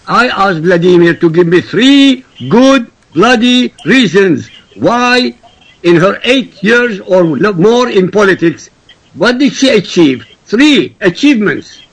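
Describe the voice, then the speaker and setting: A man's voice: high-pitched, animated, a little slow, fairly bright tone, breathy edge, low-quality recording. A man from Iraq, a native Arabic speaker, debating politics in a blunt, boastful and emphatic manner. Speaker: panel guest.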